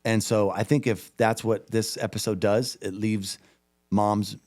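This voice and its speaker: A man with a lowish voice co-hosting a podcast in easy, casual chat. He talks earnestly and rambles a little, trying out his phrasing as he goes. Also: level tone, a little slow, a little mellow. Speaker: podcast co-host.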